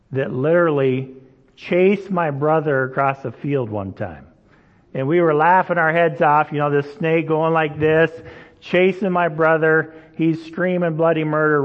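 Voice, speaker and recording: A man preaching a sermon in a relaxed, storytelling way, often checking in with the listeners. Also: fairly high pitch. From Indonesia. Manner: conversational, storytelling, frequent "right?" checks. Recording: dull sound, long hall reverb.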